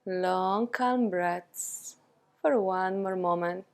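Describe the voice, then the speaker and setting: Slow-paced woman guiding a meditation. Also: medium pitch, clear, resonant tone. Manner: calm, soothing, with long pauses between short phrases. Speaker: yoga and meditation teacher. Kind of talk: guided meditation.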